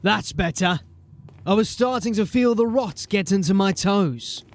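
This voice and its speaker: A man acting a gruff, authoritative sergeant of a city watch in a fantasy audio drama, with clipped speech. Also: high-pitched, unhurried. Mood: commanding.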